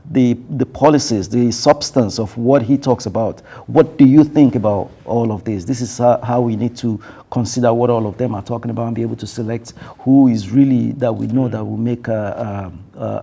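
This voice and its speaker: A man speaking on a television panel, thoughtful and halting, with many fillers and restarts. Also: medium pitch, vocal fry, dark tone, slightly rough. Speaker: panel guest. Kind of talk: panel discussion.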